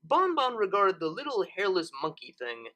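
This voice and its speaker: A woman's voice: mid-range voice, huge pitch swings, normal speed, fairly bright tone, highs cut off. A woman narrating a story expressively, as a reader of fan fiction.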